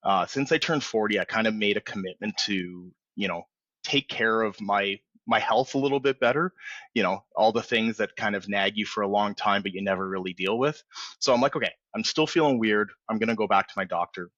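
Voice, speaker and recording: A man in his forties, a podcast guest telling his story in a relaxed, rambling way full of fillers and restarts. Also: lowish voice, fairly quick, no room sound, muffled recording. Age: 40s. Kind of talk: candid storytelling in a podcast interview.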